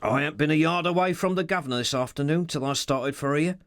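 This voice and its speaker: A sly, boastful henchman with rough, slangy talk and a pitch that swings widely, as a man reading an audiobook puts him on. He feigns innocence and candour. The recording is close and dry. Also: sing-song, normal speed, a bit bright, no echo.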